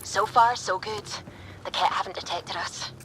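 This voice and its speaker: An actress playing a composed, focused military pilot in a sci-fi game, giving clipped, procedural radio-style reports. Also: female voice, mid-range voice, moderate pace, fairly bright tone, a little hiss or hum, natural voice, poor audio. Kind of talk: scripted video game acting.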